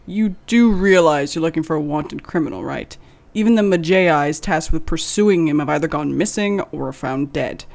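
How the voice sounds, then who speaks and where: A man's voice: fairly high pitch, moderate pace, gravelly, darkish tone. A man playing a weary bartender in an audio drama, casual and reluctant in manner. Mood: wary, reluctant. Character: weary, gossipy tavern bartender. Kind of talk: dramatic audio fiction acting.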